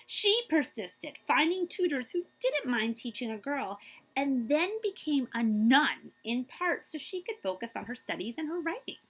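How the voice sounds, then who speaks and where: Female read-aloud storyteller, librarian type. Higher, slightly husky voice, emphatic phrasing, drawn-out key words. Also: sing-song, a bit bright, low-quality recording. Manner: emphatic, drawing out key words for young listeners. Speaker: librarian reading aloud to children. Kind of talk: expressive picture-book read-aloud with brief asides.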